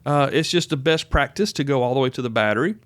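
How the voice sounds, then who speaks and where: A man's voice: mid-range voice, fast talker, clear, resonant tone, no room sound. A man hosting a podcast, giving casual instructional talk in a folksy, plainspoken, conversational way. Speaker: podcast host.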